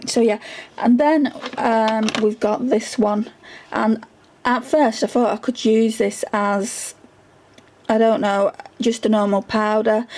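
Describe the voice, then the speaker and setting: A woman talks casually through her makeup stash, filling pauses with ums and repeating words for emphasis. Her voice is gravelly with fry, and it is wearing out and going hoarse. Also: mid-range voice, darkish tone. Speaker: beauty vlogger. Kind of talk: casual product review.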